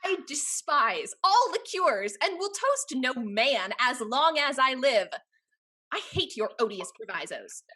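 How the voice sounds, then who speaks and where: Actress as playful demanding heiress, high-pitched, crisp bright tone, arch theatrical delivery, period comedy. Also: female voice, big pitch swings, takes it slowly, full character voice, poor audio. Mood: indignant. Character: free-spirited witty heiress, haughty, playful, demanding. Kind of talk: Restoration comedy stage dialogue.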